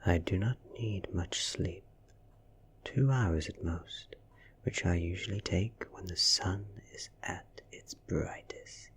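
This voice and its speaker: A British man reads a story for ASMR in a very breathy, whispered voice. His pitch is low and his pace is slow, with each phrase placed deliberately. There is some creak beneath the hush. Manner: hushed, deliberate, measured delivery. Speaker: ASMR reader. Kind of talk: soft-spoken ASMR audiobook reading.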